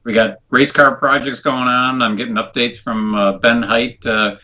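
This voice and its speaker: A man's voice: medium pitch, steady tone, moderate pace, bright, forceful tone. A man co-hosting a talk show in casual chat, with a nasal, congested voice, on a dull, lo-fi recording. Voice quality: nasal, stuffed-up. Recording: dull, lo-fi sound.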